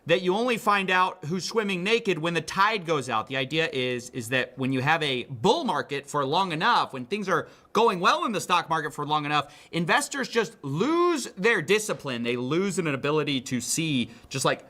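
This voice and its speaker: Male video commentator, notably high and bright voice, emphatic, incredulous, conversational. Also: big pitch swings, moderate pace, hardly any pauses. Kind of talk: conversational finance news commentary.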